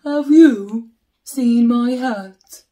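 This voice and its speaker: A woman voicing a big, polite, forlorn bear, with earnest, drawn-out questions, in a children's picture book. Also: on the high side, mellow. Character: big polite forlorn bear searching for his lost hat.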